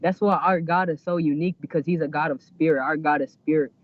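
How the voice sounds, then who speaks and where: Casting: male caller from Iraq, native Arabic speaker, higher-pitched, slangy and emphatic, heard over a lo-fi phone line from a moving car. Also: steady tone, quick pace. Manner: emphatic, repeats himself, slangy. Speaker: caller to a live stream. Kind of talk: casual, unscripted debate. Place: inside a car while driving. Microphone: phone or internet call. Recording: muffled call audio with car noise.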